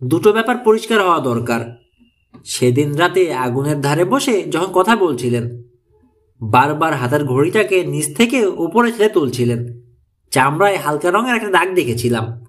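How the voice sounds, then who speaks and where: A man plays a clever teenage boy detective in a mystery audiobook. He is bold and composed, explaining earnestly in a fairly high, animated voice. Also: moderate pace. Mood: confident, deducing. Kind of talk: audiobook character dialogue.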